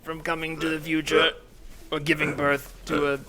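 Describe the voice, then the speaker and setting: A man playing a menacing captive machine from the future in an improvised comedy sketch, speaking in halting, ominous declarations. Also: fairly high pitch, completely flat delivery, bright, forceful tone, slight background noise.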